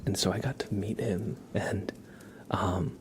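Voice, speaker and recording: An ASMR livestream host who whispers and talks softly in a gentle, affectionate way, close to the microphone. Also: low voice, fairly even intonation, unhurried, noisy background. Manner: gentle, affectionate cooing at a pet. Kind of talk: live ASMR, whispered and soft-spoken greetings and chat. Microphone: close sensitive microphone.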